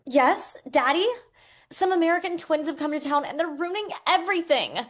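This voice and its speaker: An actress playing a haughty, petulant young mayor's daughter in a romcom table read. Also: female voice, sits high, lively intonation, moderate pace. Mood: petulant, scheming. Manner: haughty, dramatic. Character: spoiled, petulant young mayor's daughter, vindictive daddy's girl.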